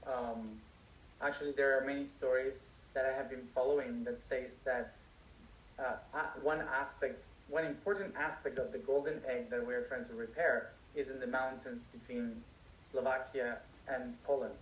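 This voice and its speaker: A man answering audience questions as a spiritual teacher, speaking slowly with many restarts and fillers. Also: medium pitch, steady tone, fairly bright tone, a little hiss or hum. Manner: slow, searching speech with many restarts and fillers. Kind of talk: live Q&A, unscripted. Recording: echoey room, distant mic, faint crickets.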